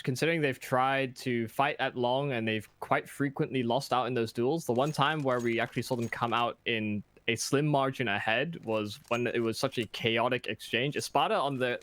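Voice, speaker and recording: A man giving live esports commentary, measured and analytical, breaking down team tactics. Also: mid-range voice, a bit bright. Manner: measured, analytical, some restarts. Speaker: esports caster.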